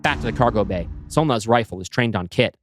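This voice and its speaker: A man reading his screenplay aloud, with brisk scene-setting narration. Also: fairly low pitch, animated, faint music behind. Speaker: writer pitching a screenplay.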